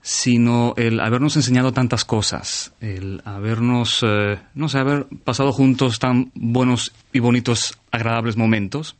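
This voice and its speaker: A man with a medium-pitched voice hosts a conversational radio interview. He phrases things a little hesitantly as he prompts his guest in an appreciative way. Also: steady tone, a little slow, hardly any pauses. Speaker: radio interviewer, programme host. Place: radio studio.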